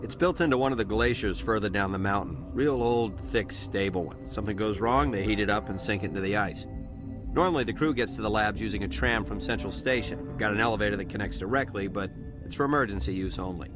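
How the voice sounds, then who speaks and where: An actor playing a blunt, weary security captain in a video game, with a folksy, colloquial way of talking. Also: male voice, lowish voice, quick pace, over music, lightly acted. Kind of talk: video game dialogue acting.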